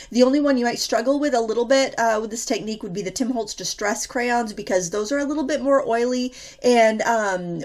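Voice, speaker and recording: A woman hosting a painting tutorial, chatting casually with lots of fillers, a little stuffy from a cold. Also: fairly high pitch. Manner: chatty, rambling, many fillers. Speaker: art tutorial host.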